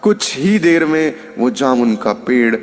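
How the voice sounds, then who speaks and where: A man's voice: medium pitch, very animated, a little slow, long reverb tail. A man narrating a scripted moral story as a storyteller.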